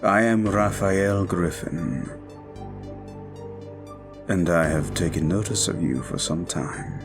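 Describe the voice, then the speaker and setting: A man playing a suave, possessive vampire in an audio roleplay, speaking formally and deliberately with a commanding manner. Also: sits low, over music. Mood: courtly, smooth. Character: suave, controlling male vampire, courtly and possessive. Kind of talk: scripted audio roleplay, slow intimate monologue.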